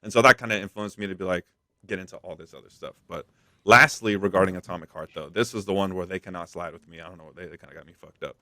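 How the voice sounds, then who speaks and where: A man's voice: low voice, steady tone, fast, sharp tone, dry recording. A man co-hosting a podcast, talking through gaming news in a casual, slangy, rambling way. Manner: rambling, slangy, frequent "like" and "dude". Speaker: podcast co-host.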